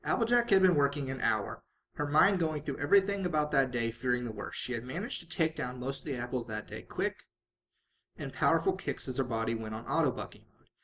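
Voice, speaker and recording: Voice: mid-range voice, expressive, fairly quick, a bit bright. An amateur reader narrating fan fiction expressively, sometimes stumbling and correcting the wording along the way. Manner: stumbles and self-corrects while reading.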